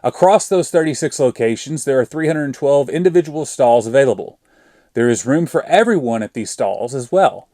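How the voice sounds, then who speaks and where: Male presenter, Arkansas drawl, brisk upbeat read of facts, dry close mic. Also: medium pitch, darkish tone, no echo. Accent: Arkansas Southern US. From Arkansas, USA. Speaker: guest presenter. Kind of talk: scripted EV news update.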